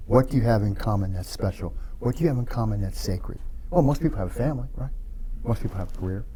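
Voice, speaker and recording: A man speaking as a podcast guest in a reflective conversation, halting often and repeating words as he works through his thoughts. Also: lowish voice, warm tone, a little hiss or hum. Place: studio room.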